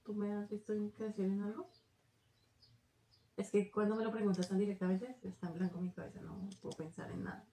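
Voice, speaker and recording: A young woman with a Colombian Spanish accent, speaking calmly and briefly in a casual travel vlog. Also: medium pitch, a little fast. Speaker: travel vlogger. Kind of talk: casual chat. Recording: echoey room with faint noise.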